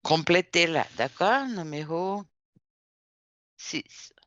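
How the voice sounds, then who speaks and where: Slow-speaking male teacher with a bright, crisp tone, recorded close and dry. He explains patiently and repeats key words so students can follow. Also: on the high side, expressive, no echo, lo-fi sound. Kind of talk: classroom instruction.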